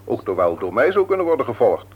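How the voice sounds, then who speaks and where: A self-important company boss in an old radio drama. His delivery is formal and emphatic, with intonation that swoops widely. The voice is rough-edged and the recording is dull and muffled. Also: medium pitch, big pitch swings, fast. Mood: baffled, exasperated. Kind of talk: Dutch radio play acting.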